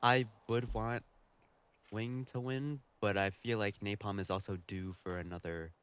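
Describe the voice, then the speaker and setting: A man's voice: fairly low pitch, fairly even intonation, unhurried, fairly bright tone, clear, resonant tone. A man on a podcast panel, talking casually. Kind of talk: casual chat.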